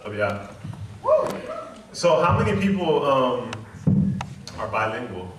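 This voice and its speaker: Man, spoken word poet, playful, bright and tense tone, distant mic, faint hiss. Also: fairly high pitch. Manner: playful, animated, engaging the crowd. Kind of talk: spoken word poetry performance. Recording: very echoey hall, mic far off.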